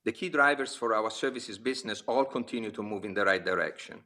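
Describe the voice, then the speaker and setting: A man, a company executive, reading prepared remarks on an earnings call, giving figures in a measured, steady delivery with brief pauses between list items. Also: fairly low pitch. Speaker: company executive presenting quarterly results. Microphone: conference call line.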